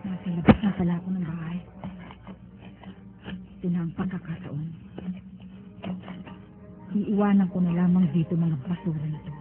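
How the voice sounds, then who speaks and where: A woman's voice: lowish voice, steady tone, moderate pace, pauses often, natural voice. A woman playing a desperate runaway Filipina migrant maid in a radio drama, with an anxious, pleading manner. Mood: bitter, determined. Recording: thin phone-like radio sound, music behind.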